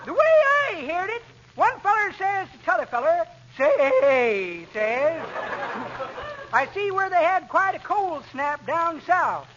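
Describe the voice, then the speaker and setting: A man's voice: very high voice, sing-song, slow pace, full character voice. An actor playing a folksy, drawling old man who teases and spins tall yarns in a vintage radio comedy. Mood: folksy, amused. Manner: drawling, rustic, teasing. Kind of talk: 1940s radio comedy acting. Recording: muffled, noisy vintage radio recording.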